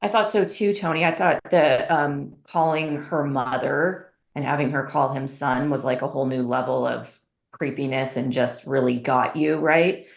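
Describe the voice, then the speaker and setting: A woman's voice: rumbling low, fairly even intonation, normal speed, vocal fry, echoey room, highs cut off. A woman giving casual feedback in a group discussion. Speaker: feedback participant.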